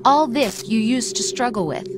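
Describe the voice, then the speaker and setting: An actress playing a calm, coldly persuasive female machine intelligence in a video game, speaking softly with a mocking edge. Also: fairly high pitch, moderate pace, background noise, lightly acted. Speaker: game character. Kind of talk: video game cutscene voice acting. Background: dramatic music score.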